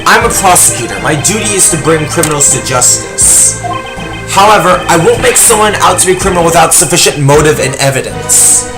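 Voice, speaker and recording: Man acting as a resolute young prosecutor, formal, earnest, gravelly edge, game drama, music underneath. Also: fairly high pitch, lively intonation, brisk, low-quality recording. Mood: firm. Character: stern, noble, resolute young male prosecutor. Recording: music behind.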